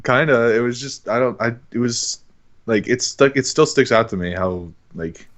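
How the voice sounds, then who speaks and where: A man's voice: medium pitch, moderate pace. A man in his twenties talking casually as an interview guest, rambling and hesitant, with an amused manner. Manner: hesitant, rambling, self-amused with frequent chuckles. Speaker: interview guest, online voice actor. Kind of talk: casual chat.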